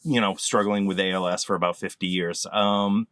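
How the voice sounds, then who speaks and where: A man's voice: sits low, fairly even intonation, moderate pace, fairly bright tone, smooth, no echo. A man presenting a quiz question in casual comedic chat, rambling and laughing at his own slips.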